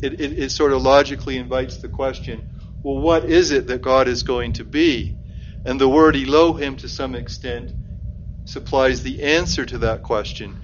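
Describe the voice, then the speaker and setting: A man at mid pitch gives a measured bible talk, explaining slowly and now and then repeating a word as he works out a thought. The recording is slightly dull, with a faint hum of the room behind him. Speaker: lay preacher.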